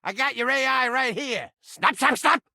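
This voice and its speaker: A man's voice: extremely high pitch, moderate pace, sharp tone, dry recording, studio quality, full character voice. An actor playing a cranky, manic living mousetrap in a video game, with blustering, streetwise wise-guy talk. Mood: defensive, agitated. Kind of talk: animated video game character acting.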